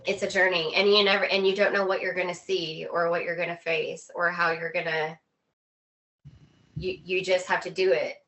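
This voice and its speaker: Woman, lowish pitch, interview guest, casual explanatory talk, earnest, halting, repetitive for emphasis. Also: level tone, fairly bright tone, rough recording.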